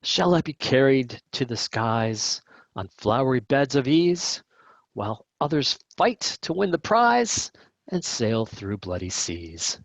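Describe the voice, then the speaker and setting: A man's voice: mid-range voice, very animated, slow pace, gravelly, no echo, exaggerated voice. Man putting on a declamatory village preacher. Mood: grandly solemn. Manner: declamatory, climbing to a stressed word then dropping. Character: small-town minister reciting a hymn with showy relish.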